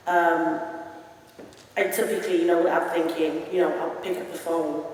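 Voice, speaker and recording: A woman's voice: lowish voice, a little mellow. Woman echoing in a hall. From South Africa. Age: 40s. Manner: earnest, emphatic retelling. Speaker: speaker at a public meeting. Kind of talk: personal testimony. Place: meeting hall. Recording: echoey hall, voice from far off.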